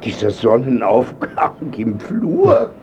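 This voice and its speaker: An actor playing a frail, wry old painter in a radio drama, speaking tersely and reflectively as someone wistful and close to death. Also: male voice, medium pitch, wildly swooping pitch, slow pace, mellow, lo-fi sound, slight background noise, heavily acted. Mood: reflective, tender. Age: older.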